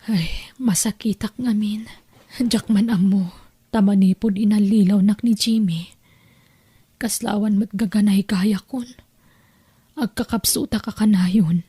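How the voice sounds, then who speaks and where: A woman's voice: medium pitch, mellow, breathy edge. A woman with an Ilocano accent playing a trusting, hardworking older sister who is heartbroken and deceived, in an emotive radio drama. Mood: weak, sad. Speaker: actress in an audio drama.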